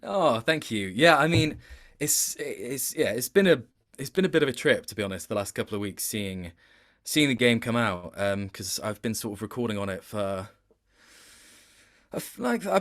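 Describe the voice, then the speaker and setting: A man with a fairly low voice talks casually as a guest in an interview. He is a voice actor, speaking in an informal, conversational way. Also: fairly bright tone. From Wales.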